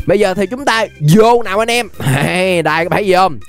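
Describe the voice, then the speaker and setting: A playful, chatty young man giving animated gameplay commentary straight to his viewers. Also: high-pitched, brisk. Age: young adult. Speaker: gaming video host. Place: indoor gaming setup. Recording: music behind the voice. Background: music.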